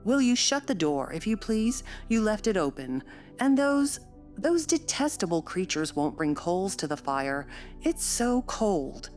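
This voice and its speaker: A woman's voice: medium pitch, big pitch swings, a little slow, darkish tone, faint music behind, voice pushed up. A woman voicing a peevish, sickly teenage boy who whines and complains fretfully in an audiobook story. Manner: whining, complaining.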